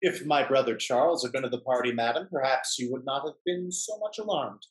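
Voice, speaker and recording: A man plays a hypocritical gentleman from a period stage comedy. He speaks at a medium pitch in courtly phrases. His manner is sententious and smooth-talking. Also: fairly quick, lightly acted, poor audio. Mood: sanctimonious. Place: indoor room, performing on video call.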